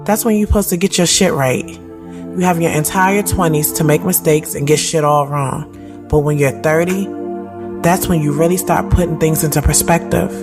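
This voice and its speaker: A woman's voice: low voice, steady tone, moderate pace. Keena, candid thirty-year-old woman, acted with music behind. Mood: frustrated, reflective. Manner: candid, conversational, slangy. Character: Keena, reflective, conflicted woman just turning thirty. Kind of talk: first-person audio drama narration.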